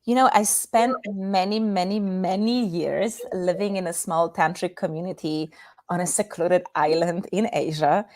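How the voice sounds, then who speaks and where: A woman's voice: lowish voice, a little slow, a little mellow. A woman from Poland with a Polish accent, speaking as an expert guest in an interview and explaining things conversationally with examples. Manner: explanatory, illustrates points with examples. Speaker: guest intimacy and tantra expert.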